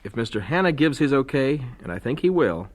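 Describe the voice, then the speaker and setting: An actor playing a principled young missionary husband in an audio drama, earnest with a dry, teasing humor. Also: male voice, mid-range voice, very animated, brisk.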